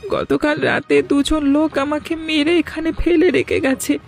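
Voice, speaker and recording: A high, creaky young village boy, acted by a woman in a cartoon. He is earnest and pleads plaintively. Faint music plays behind the voice. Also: steady tone, measured pace. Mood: suffering, pleading. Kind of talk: cartoon voice acting.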